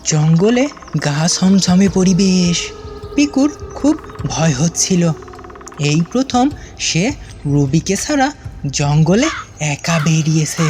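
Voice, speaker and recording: A woman's voice: lowish voice, very animated, slow, pauses often, a little mellow, slight background noise. A woman narrating a children's cartoon story in a dramatic, suspenseful storytelling manner.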